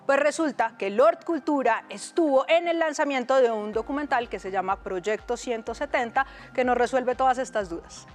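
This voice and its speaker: A woman's voice: a little high, moderate pace, few pauses. A woman presenting a culture segment in an informal, chatty style.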